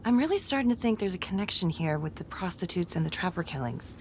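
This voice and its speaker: An actress as a hard-boiled woman detective in a crime game, blunt and short on patience. Her voice sits fairly low, with noisy ambience around it. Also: lively intonation, quick pace, close to an everyday voice. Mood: businesslike. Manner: blunt, profane, terse. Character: tough, hard-boiled female police detective, blunt and impatient. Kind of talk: dramatic video game acting.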